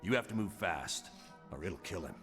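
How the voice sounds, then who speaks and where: A no-nonsense commander briefs the team in clipped, direct words. His voice is low. Long reverb hangs on it over faint music. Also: lively intonation, moderate pace. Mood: briefing. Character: gruff male spy commander, no-nonsense. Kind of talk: mission briefing.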